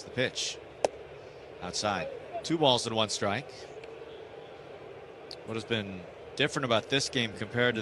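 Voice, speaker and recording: A man's voice: lowish voice, noisy background. A man doing live radio play-by-play commentary of a baseball game from a broadcast booth.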